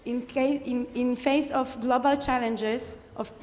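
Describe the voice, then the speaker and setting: A woman from the audience, speaking English as a philosopher at a conference, direct and insistent, often pausing with fillers. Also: on the high side, steady tone, darkish tone, in a large hall, slight background noise. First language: Polish. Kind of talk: conference floor remarks. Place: conference hall.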